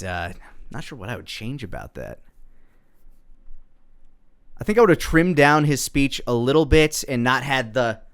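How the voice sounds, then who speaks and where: Animated, bright-voiced man giving commentary. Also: mid-range voice, clear, resonant tone. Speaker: creator reviewing own parody episode. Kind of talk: casual commentary.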